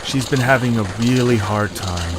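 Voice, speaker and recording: A man's voice: mid-range voice, normal speed, noisy background, lightly acted. A man playing a kind, reluctant leader of small creatures, earnest and sympathetic, in a fan audio drama.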